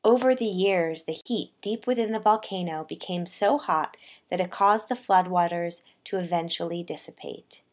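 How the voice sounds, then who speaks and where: A woman with a slightly low voice narrates a poetic story for a puppet show. Her delivery is measured and deliberate, with phrases that soften as they close.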